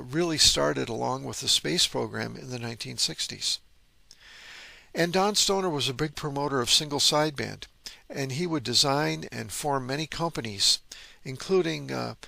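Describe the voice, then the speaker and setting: Guy with a creaky voice talking through his radio hobby, wry asides, super dry close sound. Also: mid-range voice, pushed and bright, dry recording. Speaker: host of a vintage radio hobby channel. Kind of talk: informal narration on vintage radio gear.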